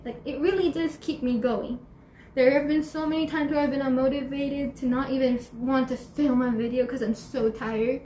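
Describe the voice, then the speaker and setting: Give me a bubbly teenage girl with a high voice rambling through a home vlog, recorded in a roomy space. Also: dark tone, a little hiss or hum. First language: Spanish and English. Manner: bubbly and chatty, laughs often, many fillers and restarts. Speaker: YouTuber. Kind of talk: casual Q&A vlog. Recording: echoey room, faint ambience.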